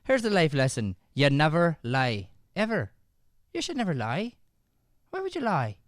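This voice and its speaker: A man's voice: a little high, huge pitch swings, normal speed, no echo, studio quality. A man playing a stern, easily flustered schoolteacher who interrogates and repeats himself in an improvised radio comedy. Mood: stern lecturing. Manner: interrogating, repetitive, flustered when challenged. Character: stern, exasperated male schoolteacher scolding a child in detention. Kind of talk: improvised old-time radio comedy acting.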